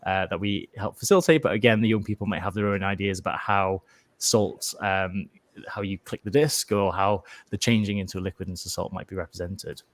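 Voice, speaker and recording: A man's voice: sits low, fairly even intonation, fairly quick. A man hosting an informal online presentation of an educational resource, speaking in an enthusiastic but hesitant, discursive way.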